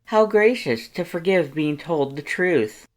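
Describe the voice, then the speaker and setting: A man's voice: a little high, animated, measured pace, voice pushed up. A man voicing a vain, haughty male skeleton lord with pompous, declamatory, theatrical speech. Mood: boastful, haughty. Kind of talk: theatrical storybook character acting.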